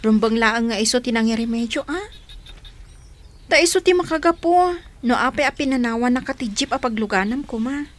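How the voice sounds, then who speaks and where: A woman's voice: fairly high pitch, lively intonation, a little fast, lightly acted. A woman with a Filipino Ilocano accent playing a frank, firm older sister in a radio drama. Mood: reproachful.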